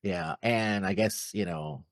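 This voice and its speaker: A man co-hosting a reaction show, bantering casually in a halting, rambling way and often trailing off. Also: low voice, no room sound, clean studio sound. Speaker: co-host of an anime reaction show.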